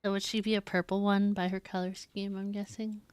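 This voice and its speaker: A woman's voice: medium pitch, level tone, brisk, darkish tone, clear, resonant tone, no room sound, studio quality. A woman game streamer giving casual, chatty live commentary in a giggly manner. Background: game music.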